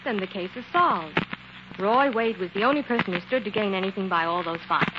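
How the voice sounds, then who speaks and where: A woman's voice: mid-range voice, fairly quick, heavy background noise, natural voice. An eager female sleuth's assistant acted by a woman in a 1940s radio drama. Her voice sits mid-range and moves with lots of animation. The old recording sounds dull. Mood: insistent. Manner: pert, animated.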